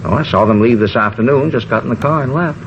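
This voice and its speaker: An actor playing a fussy, nosy, indignant landlord in an old-time radio detective drama, rambling on with folksy grammar. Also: male voice, medium pitch, fast, natural voice. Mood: eager, nosy. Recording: dull, noisy old radio recording.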